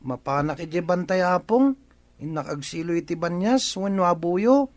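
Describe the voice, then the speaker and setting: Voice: mid-range voice, lively intonation, moderate pace, darkish tone, no echo, lightly acted. A performer with an Ilocano accent playing a young village boy in a radio drama, respectful and eager to help. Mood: earnest. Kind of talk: comedy radio drama acting.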